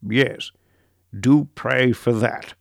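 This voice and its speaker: A man reading as a measured, gravelly professor. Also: mid-range voice, dry recording, studio quality. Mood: haunted.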